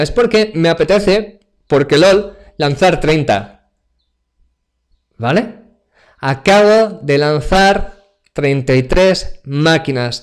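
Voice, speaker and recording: A man in his forties from Spain runs a live online tech demo over his webcam. He jokes and chats straight to the audience, and his voice sits a little high for a man. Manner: chatty and joking, speaks straight to the audience. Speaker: cloud computing speaker. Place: home office, indoors. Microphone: webcam video call.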